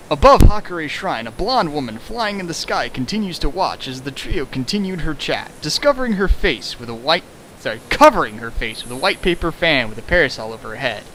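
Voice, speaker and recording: Male co-reader, fairly high, very animated pitch, hammy and sarcastic reading with asides, faint hiss behind. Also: normal speed, poor audio. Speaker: co-host reading fan fiction aloud. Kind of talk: mocking dramatic reading with asides. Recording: faint hiss, home recording.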